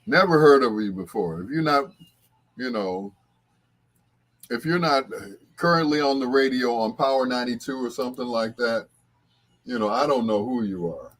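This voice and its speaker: A man's voice: mid-range voice, normal speed, fairly bright tone. A man hosting a casual livestream, talking to viewers in a rambling, conversational way. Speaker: livestream host.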